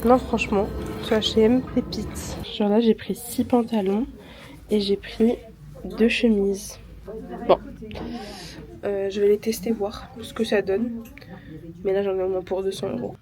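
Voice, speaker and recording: A woman's voice: mid-range voice, steady tone, a little slow, pauses often, rough recording. A young woman with a head cold chatting casually to her phone camera for a vlog, upbeat and full of slang. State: congested with a cold. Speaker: vlogger. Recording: music playing under the voice.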